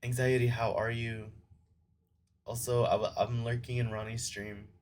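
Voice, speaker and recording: A man's voice: mid-range voice, level tone, moderate pace, a little mellow, a little hiss or hum. A young man streaming a game and chatting with viewers, his voice weak from a recent illness and a lingering cough. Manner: chatty, friendly, greets viewers by name. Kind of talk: casual chat with live chat.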